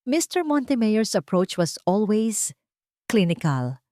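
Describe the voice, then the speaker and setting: A woman narrator voicing a doctor testifying as an expert witness, formal, measured and accusing, in an audio drama. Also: medium pitch, big pitch swings, dark tone, a little hiss or hum, pitched above the performer's own voice.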